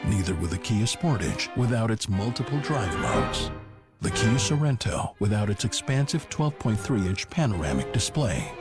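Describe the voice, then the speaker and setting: Low, expressive male commercial voice-over. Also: moderate pace. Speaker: ad announcer. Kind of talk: scripted car ad narration. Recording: loud music under the voice.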